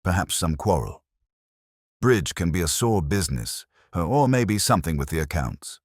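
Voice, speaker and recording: A man's voice: deep voice, big pitch swings, normal speed, dry recording, studio quality. A man voicing an eager, blunt middle-aged police sergeant who talks in a plain, forthright way.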